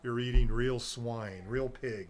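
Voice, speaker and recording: A middle-aged man talks in a loose, meandering way, as though he is telling stories to a friend. He has an average pitch and laughs easily between thoughts. A faint hum of room noise sits under his voice. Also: steady tone, measured pace, darkish tone. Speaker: guest, herbal nutrition seller. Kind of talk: rambling casual chat on a local radio show.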